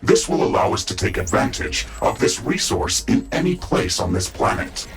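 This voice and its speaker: A man playing the AI of a mecha-suit in a video game, giving formal, measured tactical reports with a robotic processed voice. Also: fairly low pitch, very animated, vocal fry, soft background music. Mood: calm, formal. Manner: measured, formal, clipped announcements. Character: male AI of a mecha-suit, calm tactical advisor. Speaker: AI companion giving mission reports. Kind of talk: scripted video game dialogue.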